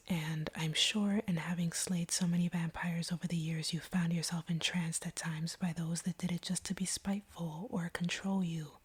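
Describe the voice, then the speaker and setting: A woman voicing a conflicted female vampire in an intimate audio roleplay, speaking to her hunter in a hushed, deliberate, earnest whisper. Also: on the low side. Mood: apologetic, sincere. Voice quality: breathy, whispery voice.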